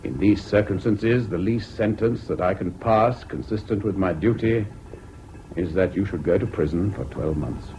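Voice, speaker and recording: An actor with a British accent playing a stern, disapproving judge in a 1970s radio drama, formal, grave and emphatic. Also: male voice, low-pitched, normal speed, warm tone, lightly acted. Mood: stern, condemning. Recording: dull, noisy old radio recording.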